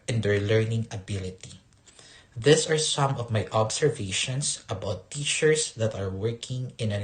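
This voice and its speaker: A man who works as a school administrator delivers a scripted presentation in a formal, measured manner, point by point. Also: lowish voice.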